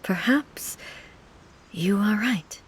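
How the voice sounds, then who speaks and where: A woman playing a composed, resolute female shogun in a video game, speaking in a formal, dignified, measured way. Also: mid-range voice, slight background noise. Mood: soft, accepting. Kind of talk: video game character acting.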